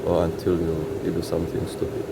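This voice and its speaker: Man, from Congo, very deep voice, halting restarts, mechanic, workshop noise behind. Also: monotone, gravelly. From Congo, grew up in Uganda. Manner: halting, many restarts and "you know". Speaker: bus mechanic. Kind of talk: casual interview answers. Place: large vehicle workshop.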